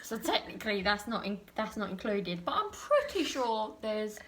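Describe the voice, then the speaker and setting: A woman's voice: medium pitch, sing-song, normal speed, a bit bright. A young British woman hosting a casual chat, with a teasing, jokey way of talking. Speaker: vlog host.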